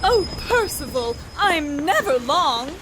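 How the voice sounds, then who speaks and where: Woman playing high, lilting, breezy genteel wife. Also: very animated, slow, noisy background, heavily acted. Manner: genteel, theatrical. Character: breezy, flirtatious, gently chiding wife. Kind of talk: old-time radio comedy acting.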